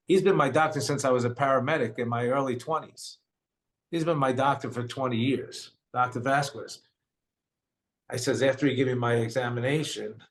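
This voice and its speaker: An older man with a New York accent earnestly telling his own story, often quoting what others said to him. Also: gravelly. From Brooklyn, New York. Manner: earnest, retells dialogue in quotes, voice drops low and quiet at hard moments. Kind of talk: personal storytelling.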